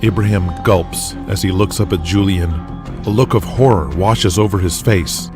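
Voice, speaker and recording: A man's voice: low voice, unhurried, music in the background. A man delivering dramatic scripted narration as the narrator of an audio drama.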